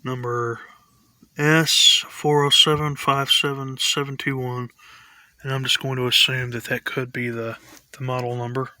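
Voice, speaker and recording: A man giving a casual, tentative show-and-tell commentary in a halting way, like a hobbyist vlogger. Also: medium pitch, level tone, sharp tone, no room sound, poor audio.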